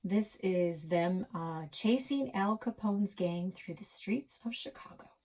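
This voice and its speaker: A woman's voice: medium pitch, takes it slowly, warm tone. A woman reading a children's novel aloud as a library read-aloud host.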